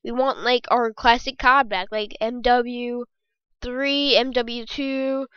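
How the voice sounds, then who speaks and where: Squeaky-voiced young woman rambling on a podcast. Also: steady tone, slow, smooth, dry recording, highs cut off. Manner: rambling, jokey, self-correcting. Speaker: podcast host. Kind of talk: casual solo gaming podcast. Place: bedroom.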